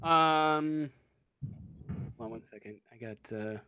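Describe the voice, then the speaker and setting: Halting, informal woman running a tabletop game. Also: low voice, a bit bright, clear, resonant tone, no echo, highs cut off. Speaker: game master. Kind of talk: improvised tabletop game narration.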